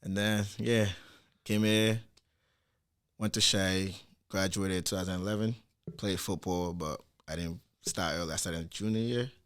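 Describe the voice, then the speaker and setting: Deep-voiced laid-back young man. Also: fairly even intonation, moderate pace, clear, resonant tone. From Nigeria. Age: 20s. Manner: laid-back, easygoing slang. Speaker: guest, DJ. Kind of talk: casual podcast chat.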